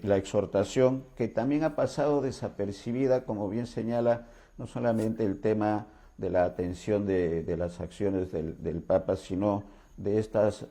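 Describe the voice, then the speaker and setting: A man's voice: sits low, dark tone. A man hosting an interview, courteous, with frequent fillers and restarts. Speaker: talk show host.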